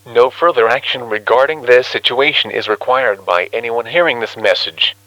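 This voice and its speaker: A man's voice: medium pitch, normal speed, a bit bright, a little hiss or hum, rough recording. A man reading a formal scripted emergency bulletin in an official, careful manner. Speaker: emergency alert announcer.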